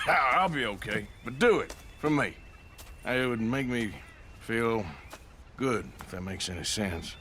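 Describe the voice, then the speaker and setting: An actor playing an earnest, protective, world-weary outlaw in a video game, plain-spoken and grave. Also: male voice, mid-range voice, animated, slow pace, noisy background. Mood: resigned, caring. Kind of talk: video game cutscene acting.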